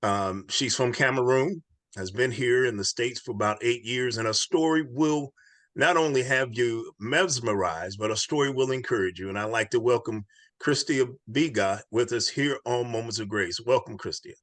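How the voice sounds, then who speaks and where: A man's voice: mid-range voice, normal speed, a bit bright, no echo. A man hosting an inspirational podcast, speaking in an earnest, encouraging, preacher-like way. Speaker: podcast host.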